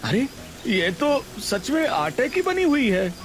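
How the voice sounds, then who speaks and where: A man's voice: very high voice, very animated, slow, pitched above the performer's own voice, heavily acted. A man voicing a wary but fair-minded male food-stall customer in a cartoon. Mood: doubtful. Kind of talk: cartoon dialogue acting. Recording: background music under voice.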